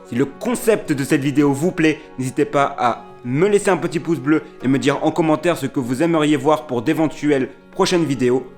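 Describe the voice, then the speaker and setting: A man's voice: on the high side, fairly quick, hardly any pauses, darkish tone. A man hosting his channel, greeting viewers and introducing the video in a friendly, direct way. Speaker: channel host. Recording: faint music behind.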